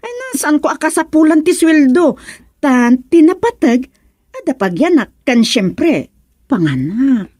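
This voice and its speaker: A woman acts out an insistent village woman angling for a housemaid job in a radio serial. She is chatty and pleading. Her delivery is slow and drawn out, very animated, in a dry close recording. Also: high-pitched, no room sound. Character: pleading village woman named Osang seeking housemaid work. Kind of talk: Ilocano radio comedy-drama acting.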